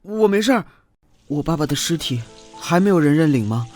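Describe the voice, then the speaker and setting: A male actor as a timid, neglected schoolkid in an animated drama. The voice is raised in pitch, polite and subdued, over faint music. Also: brisk, close to an everyday voice. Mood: subdued, sad.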